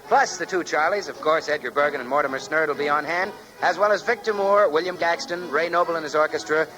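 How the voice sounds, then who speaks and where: Music plays behind an American man on an old 1940s radio broadcast. His voice is fairly high for a man, and he announces in a polished, upbeat promotional style. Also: rough recording.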